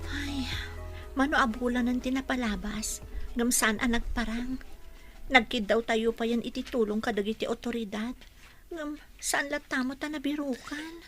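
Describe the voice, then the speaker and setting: Woman acting gossipy concerned village girl, high pitched, bit of music behind, radio drama. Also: steady tone, normal speed, a bit bright, close to an everyday voice.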